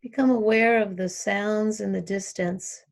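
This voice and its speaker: A woman teaching yoga nidra, guiding a relaxation meditation in calm, short instructional phrases with long pauses between them. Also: mid-range voice, gravelly.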